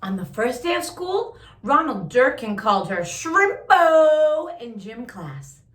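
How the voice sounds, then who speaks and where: A woman reading a picture book aloud to young listeners, with expressive drawn-out emphasis and chatty asides. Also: on the high side, roomy sound. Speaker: children's story-time host reading a picture book aloud.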